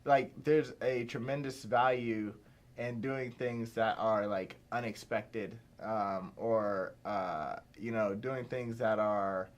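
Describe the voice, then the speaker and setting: A man's voice: fairly low pitch, fairly even intonation, slow pace. A young man from Pittsburgh, a filmmaker guest chatting casually in an interview, rambling with lots of fillers and restarts. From Pittsburgh, USA.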